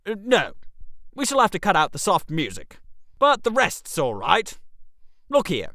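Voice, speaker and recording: Man playing a brisk, animated, bossy playwright. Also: high voice, dry recording, studio quality, full character voice. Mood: brisk, scheming. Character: bossy, brisk male playwright staging a scheme.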